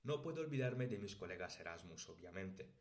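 A man's voice: deep voice, expressive, a little fast. A man in his late twenties with a Spanish accent from Spain, narrating a scripted podcast as a host and teacher. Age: late 20s. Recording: roomy, echoey sound.

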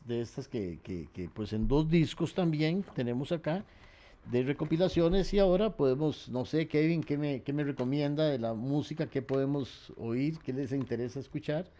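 Mellow-voiced man hosting a music show. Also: fairly high pitch. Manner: reads facts in long runs, trails off with fillers. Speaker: radio host and researcher. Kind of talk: relaxed radio talk about music history.

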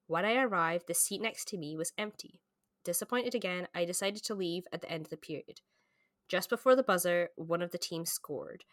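A woman's voice: lowish voice, a bit bright, no room sound, clean studio sound. A woman co-hosting a podcast, chatting casually about books and reading aloud. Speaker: podcast co-host.

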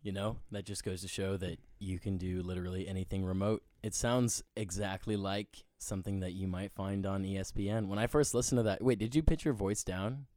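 A man's voice: sits low, fairly even intonation, fairly quick, hardly any pauses, a little mellow, dry recording, clean studio sound. A man hosting a podcast with a wry, sarcastic, theatrical manner. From Nebraska, US. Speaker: podcast host. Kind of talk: playful show intro and casual interview.

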